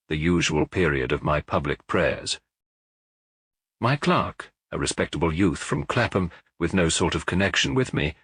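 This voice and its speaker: A man's voice: deep voice, fairly bright tone, husky, no echo, clean studio sound, exaggerated voice. A male narrator playing a self-assured sun-cult prophet in an audiobook detective story, with lofty, declamatory delivery. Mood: assured, triumphant.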